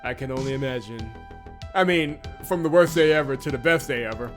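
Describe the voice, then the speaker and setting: A man's voice: mid-range voice, brisk, soft background music. A man hosting a visual novel let's play, reading story text expressively with casual asides and corrections of his own slips. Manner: expressive reader who breaks off to correct himself.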